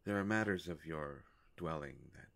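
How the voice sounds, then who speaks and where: A man playing a courteous, ancient vampire in a roleplay audio drama, speaking in a halting, formal, soft-spoken way. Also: a bass voice. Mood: polite, tentative. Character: courteous, ancient male vampire, gentle and hesitant.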